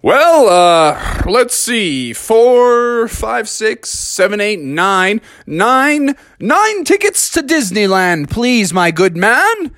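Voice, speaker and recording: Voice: medium pitch, very animated, very slow, few pauses, a bit bright, no room sound, studio quality, pitched above the performer's own voice, full character voice. A storyteller voicing a jolly, proud father frog in a raised, hearty voice.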